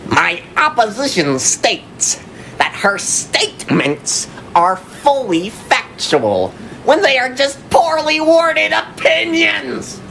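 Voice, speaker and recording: An actress in an amateur radio drama playing a combative young student debater, scornful and emphatic. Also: female voice, high voice, expressive, takes it slowly, creaky, fairly bright tone, a little hiss or hum, full character voice, poor audio.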